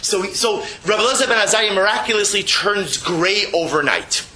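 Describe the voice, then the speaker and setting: High, bright-voiced man teaching religious text. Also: expressive, brisk, a little hiss or hum. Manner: emphatic, repeats phrases, rhetorical questions. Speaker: rabbi giving a Torah class. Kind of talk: religious text lecture, reading and translating.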